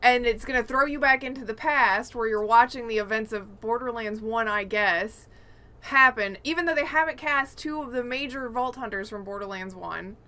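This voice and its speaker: A woman's voice: fairly high pitch, normal speed, pushed and bright. A woman co-hosting a podcast, talking casually in a blunt, opinionated way. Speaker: podcast co-host. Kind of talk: casual chat about games and films.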